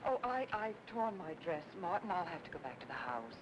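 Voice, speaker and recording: An actress playing a refined young widow, wary but playful, in 1940s film noir style. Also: female voice, sing-song, fairly quick. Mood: flustered, uneasy. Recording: noisy old film soundtrack with rumble.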